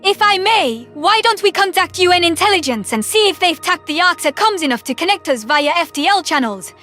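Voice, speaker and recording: Woman acting as very high-pitched young military aide, sharp bright tone, polite, earnest, sci-fi audio drama. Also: expressive, a little fast, faint music behind, full character voice. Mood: deferential, earnest.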